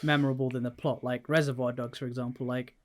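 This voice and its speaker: A man's voice: mid-range voice, flat delivery, fast talker. A man co-hosting a casual gaming podcast, thinking aloud informally and posing questions as he goes. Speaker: podcast host.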